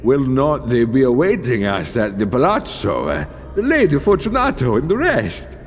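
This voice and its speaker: A shrill, desperate doomed nobleman. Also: wildly swooping pitch. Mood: anxious pleading. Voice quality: sad, shrill. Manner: halting, laughing, stammering. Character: doomed drunken nobleman, pleading with hysterical false mirth. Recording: long cavernous reverb, music behind.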